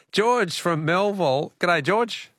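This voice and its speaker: A man's voice: sits high, animated, slow, fairly bright tone, no echo, studio quality. An Australian man hosting a live phone-in radio quiz, chatting with callers in a jokey, bantering way.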